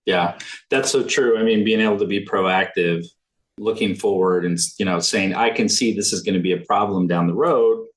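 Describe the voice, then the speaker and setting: A man hosting a financial podcast, conversational and friendly with quick affirmations. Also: on the low side. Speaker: podcast host. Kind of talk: podcast interview.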